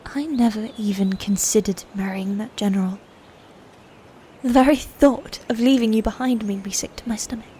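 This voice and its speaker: A woman playing a proud, bitter female knight in a fantasy ASMR roleplay, breathy and often near a whisper, with formal, commanding diction. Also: mid-range voice, fairly even intonation, moderate pace, mellow, a little hiss or hum, lightly acted. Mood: wounded, bitter.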